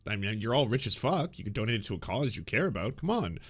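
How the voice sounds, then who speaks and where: A man hosting a reaction show in a mocking, sarcastic way. His voice sits at an ordinary middle pitch. He sounds like he is talking over clips he is watching. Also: very animated, fast, fairly bright tone, slightly rough. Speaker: commentary host.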